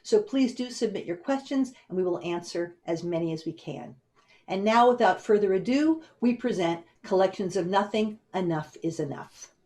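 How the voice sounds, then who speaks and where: A woman speaks as an event host and director, giving a formal, prepared welcome in a measured way at an online webinar. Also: mid-range voice, lively intonation, dark tone. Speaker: humanities center director introducing an event.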